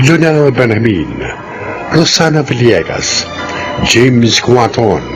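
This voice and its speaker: Slow, solemn male radio narrator. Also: medium pitch, poor audio. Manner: measured, reflective. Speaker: radio drama narrator and announcer.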